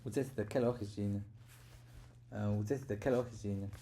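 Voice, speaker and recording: A man with a somewhat low, dark and mellow voice practices French phrases aloud, repeating each one haltingly and tripping over longer words. A faint background hum sits under his voice. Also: steady tone. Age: adult. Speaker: adult learner of French. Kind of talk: self-recorded pronunciation practice.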